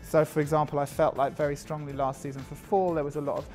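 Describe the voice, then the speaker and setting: A man's voice: a little high, fast, faint music behind. A man, a fashion creative director, talking casually and at length with many fillers. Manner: chatty, lots of fillers, animated when describing designs. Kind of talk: casual interview talk.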